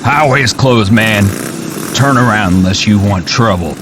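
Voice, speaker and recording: An actor playing a hostile, coarse roadblock thug with blunt, threatening delivery. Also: male voice, lowish voice, a little slow, close to an everyday voice. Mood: menacing. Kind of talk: gritty audio drama dialogue. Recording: very noisy roadside with engine.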